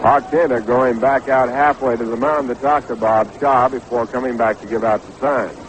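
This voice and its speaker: A man's voice: mid-range voice, fairly even intonation, moderate pace, highs cut off. American man calling baseball on noisy old radio. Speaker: baseball play-by-play radio announcer. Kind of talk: 1960s radio sports commentary. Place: stadium broadcast booth. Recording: noisy 1960s AM radio broadcast.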